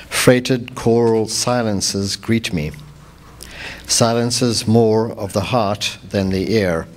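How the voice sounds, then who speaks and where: A man with a South African accent reads from his own memoir in a fairly low voice. His delivery is literary and deliberate, with dramatic phrasing and pauses that linger. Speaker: author reading from own memoir.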